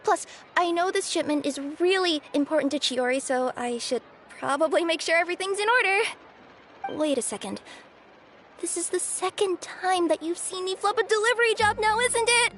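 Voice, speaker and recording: A woman's voice: very high voice, normal speed, fairly bright tone, slight background noise. A woman voicing a young cat yokai courier, bubbly, sheepish and apologetic, in a video game. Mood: cheerful. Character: young female cat yokai courier with two tails, cheerful and flustered. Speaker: delivery courier character. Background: game music.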